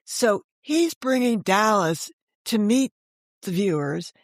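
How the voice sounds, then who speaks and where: A woman's voice: medium pitch, animated, takes it slowly, no room sound, clean studio sound. An older woman talking as an interview guest, telling stories conversationally and correcting herself as she explains. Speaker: guest, author and editor.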